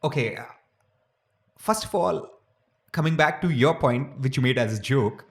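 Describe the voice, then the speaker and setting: A man's voice: mid-range voice, animated, moderate pace, gravelly, echoey room. A man co-hosting a podcast, blunt and frank in casual chat, swearing freely. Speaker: podcast co-host.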